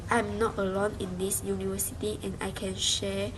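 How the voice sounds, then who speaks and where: A young Malaysian woman, a university student, giving a halting spoken reflection with many restarts and fillers. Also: medium pitch, monotone. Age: young adult. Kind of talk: spoken class reflection in a second language. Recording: noisy background hiss.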